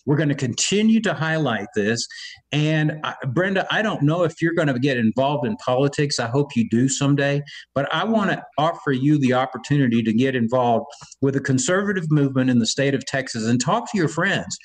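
A man's voice: mid-range voice, animated, fairly quick. A man from Cuba speaking emphatically about politics on a video call, often restarting his words. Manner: emphatic, repeats words when starting. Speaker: political guest. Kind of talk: impassioned political talk.

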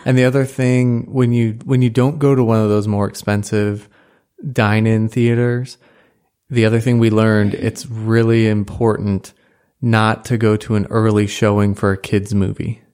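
A man co-hosting a casual chat, easygoing and agreeable, often answering with a relaxed yeah. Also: on the low side, normal speed, smooth. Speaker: podcast co-host.

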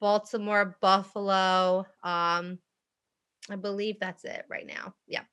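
A woman's voice: medium pitch, slow, smooth. A young woman from South Jersey, a comedian and radio co-host, chatting on a podcast and telling stories with lots of laughter. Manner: laughing, animated storytelling. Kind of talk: casual podcast chat.

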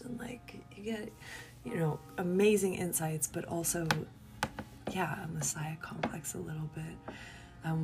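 A woman hosting a solo podcast in a casual, confessional way, rambling candidly and giggly, often trailing off into breathy murmurs. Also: on the low side. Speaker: podcast host.

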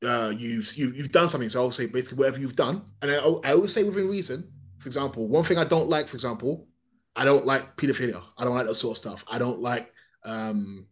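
A man's voice: mid-range voice, quick pace. A man from England, giving a rambling, opinionated monologue on a podcast, often restarting and repeating his words.

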